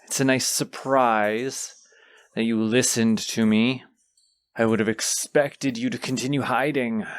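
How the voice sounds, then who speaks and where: Proud, condescending dragon guarding its hoard, played by a man, mid-pitched, very slow theatrical delivery, fantasy audio drama. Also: smooth. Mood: imperious, menacing. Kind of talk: fantasy audio roleplay acting.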